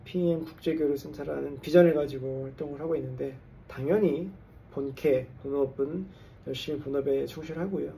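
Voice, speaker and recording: A man's voice: fairly high pitch, gravelly, dark tone, recorded from a distance, a little hiss or hum, poor audio. A man in his forties from South Korea, a little tipsy, talking casually to camera as an engineer and coach and mixing English jargon into his talk. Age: 40s. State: tipsy after drinks. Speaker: construction engineer and English coach hosting a channel. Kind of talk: casual vlog monologue to camera.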